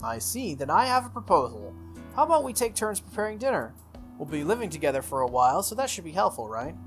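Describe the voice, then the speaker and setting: A man voicing a proud, bossy teenage girl from a visual novel, speaking in a brisk, demanding, slightly haughty way. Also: sits high, big pitch swings, pitched above the performer's own voice. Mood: brisk, decisive. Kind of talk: visual novel character reading. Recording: music playing behind the voice.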